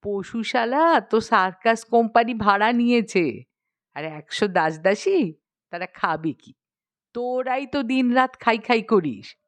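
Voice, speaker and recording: A woman's voice: a little high, lively intonation, measured pace, no echo. A woman voicing a fretful middle-aged queen mother in a storybook, chiding and nagging in a motherly way. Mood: exasperated. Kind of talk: dramatised storybook reading.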